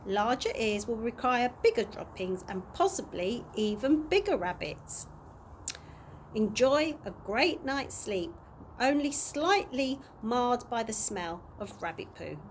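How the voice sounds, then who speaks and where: A woman reading a storybook aloud to children in an animated way, with occasional small stumbles. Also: on the high side, slow pace. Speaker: early years practitioner reading to children. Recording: audible background noise.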